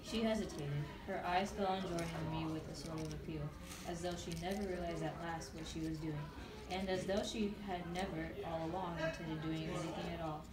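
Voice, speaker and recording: A wry, reflective young male narrator, voiced by a woman, telling the scene as an onlooker. Her voice sits fairly low. It is heard from far off in a roomy space, with a crowd audible behind. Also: fairly even intonation, a little fast, lightly acted. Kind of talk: narration for a puppet show.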